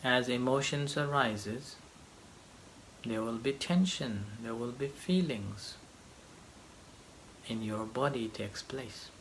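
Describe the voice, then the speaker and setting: Slow-spoken male meditation teacher. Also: medium pitch, a bit bright, slight background noise, poor audio. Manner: halting short phrases with long pauses. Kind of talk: guided meditation, slow gentle instruction.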